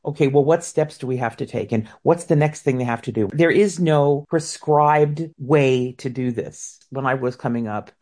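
A woman in her fifties with a low voice, an opera singer reflecting on her career in an interview, often repeating words as she goes. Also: normal speed, hardly any pauses, warm tone. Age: 50s. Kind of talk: interview answers.

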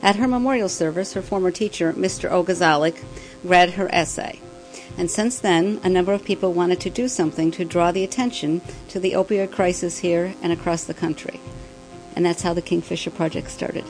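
Female, middle-aged, scripted radio segment intro, slightly low pitch over soft music. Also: fairly even intonation, brisk, rough recording.

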